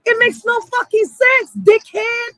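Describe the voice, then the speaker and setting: Woman, high-pitched, dry close sound, blunt, emphatic, heated rant, heavy street slang. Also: steady tone, unhurried, fairly bright tone, no room sound. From Puerto Rico or Puerto Rican background. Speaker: channel host. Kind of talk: heated, profane casual monologue.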